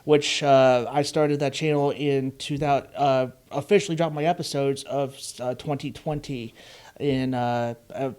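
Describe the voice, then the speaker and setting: A man in his thirties hosts a relaxed podcast chat in a Chicago-area Midwestern accent. His voice sits at a middle pitch. He rambles through his story with plenty of "uh" and "like" fillers. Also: a little slow, smooth. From Chicago area, Illinois. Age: 30s. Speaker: podcast host.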